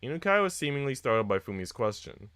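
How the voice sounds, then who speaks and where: A man's voice: mid-range voice, big pitch swings, quick pace, fairly bright tone, smooth, no echo, studio quality. A man on a live stream, reading visual novel text aloud and chatting casually with viewers. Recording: noisy mic with typing sounds.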